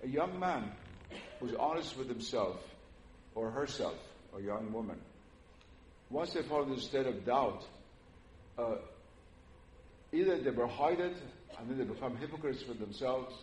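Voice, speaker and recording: A man's voice: medium pitch, lively intonation, a little mellow. Older man lecturing in a hall. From Iran. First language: Persian. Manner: measured and earnest, frequent "uh" pauses. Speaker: religious lecturer. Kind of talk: public lecture to an audience. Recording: roomy hall with faint noise.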